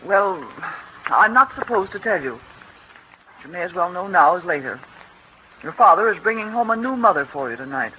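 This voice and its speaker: An actress playing a stern, curt housekeeper scolding a child in a 1940s radio drama. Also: female voice, fairly low pitch, expressive, moderate pace, pauses often, a little hiss or hum, natural voice. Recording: tinny old radio broadcast.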